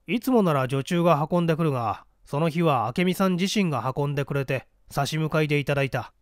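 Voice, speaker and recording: A man's voice: fairly high pitch, fast, no echo, clean studio sound, close to an everyday voice. A man voicing a blunt, hot-headed newspaper reporter giving his account curtly in a mystery reading. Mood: recounting. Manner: curt, defensive. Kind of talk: dramatic storybook reading of a mystery.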